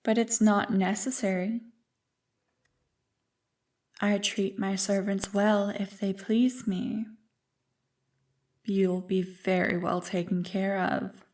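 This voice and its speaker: Woman acting a slow, teasing ancient evil creature. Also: mid-range voice, little pitch movement, a little mellow, recorded from a distance, close to an everyday voice. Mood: smug, soothing. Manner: slow, teasing, condescending, savoring words. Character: ancient nefarious evil creature, female, playful, condescending, manipulative. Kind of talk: ASMR roleplay, scripted monologue to the listener. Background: music.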